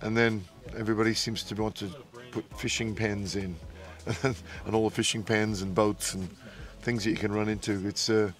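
Man, low voice, relaxed rambling, outdoors at night. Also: moderate pace, hardly any pauses. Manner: easygoing, rambling with fillers. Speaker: yacht sailor. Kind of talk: post-race interview.

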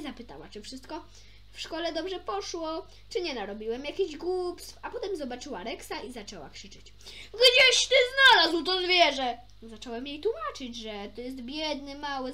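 A woman reading a children's story aloud expressively, occasionally stumbling and rereading a phrase. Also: high-pitched.

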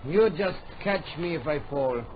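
An actor playing a proud, grumbling older mountain guide with a French accent in an old radio drama. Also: male voice, fairly high pitch, lively intonation, a little slow, loud music behind the voice. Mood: grumbling, wry. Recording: muffled old radio recording.

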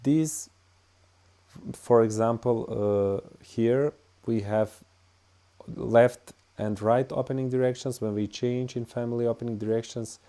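A man with a Bosnian accent, whose native tongue is Bosnian, walks through a software tutorial in English, pausing often with "uh" and "all right". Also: mid-range voice, steady tone. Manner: halting, many "uh" and "all right" fillers. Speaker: tutorial host. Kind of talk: screen-recorded software tutorial.

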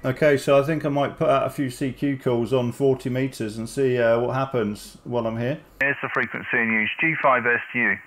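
A man's voice: medium pitch, steady tone, a little fast, fairly bright tone. A middle-aged British man chatting casually and making calls as an amateur radio operator. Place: small home radio room, indoors. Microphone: headset. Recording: narrow, tinny, phone-like sound.

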